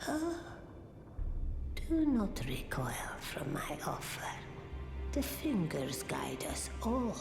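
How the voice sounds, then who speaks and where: An actress playing an ancient, solemn old female oracle in a fantasy game, with a breathy, aged voice and a reverent, portentous way of speaking. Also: low voice, very animated, roomy sound, over music, full character voice. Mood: solemn, knowing. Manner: slow, reverent, portentous, archaic phrasing. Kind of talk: scripted fantasy game dialogue.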